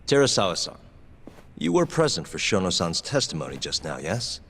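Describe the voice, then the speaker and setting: An actor playing a composed, wry lawyer turned detective in an English game dub. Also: male voice, deep voice, very animated, moderate pace, music in the background. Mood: firm, formal. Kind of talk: English dub cutscene acting.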